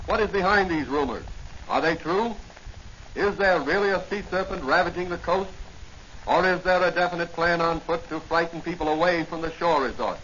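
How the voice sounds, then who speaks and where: A man's voice: a little high, a bit bright, slight background noise. A man announcing and narrating in a theatrical, declamatory 1940s radio drama style. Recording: muffled old 1940 radio recording, music behind.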